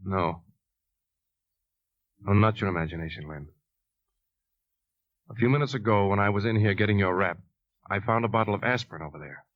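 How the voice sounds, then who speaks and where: A man's voice: on the low side, moderate pace, long pauses, dry recording, natural voice. An actor playing an earnest, anxious, determined man in 1950s radio suspense acting. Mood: grave, accusing. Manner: earnest, tense, hesitant. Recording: dull 1950s radio recording.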